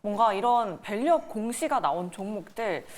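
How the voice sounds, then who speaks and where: A woman's voice: mid-range voice, lively intonation, normal speed, a little mellow. A woman hosting a live stock show, summing up and putting questions to guests. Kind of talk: live broadcast presenting, interviewing guests.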